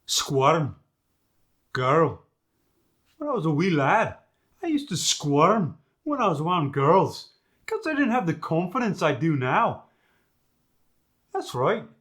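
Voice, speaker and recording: A man's voice: high voice, very animated, measured pace, fairly bright tone, full character voice. A man playing a boastful Scottish dialect master, demonstrating sounds in an emphatic, swaggering manner for a comic lesson.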